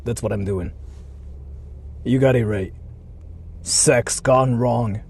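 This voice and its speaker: A man's voice: medium pitch, takes it slowly, darkish tone, breathy edge. A man with a Japanese accent, a native Japanese speaker, chatting casually and slangily on a livestream and often repeating himself. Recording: faint vehicle noise on live stream.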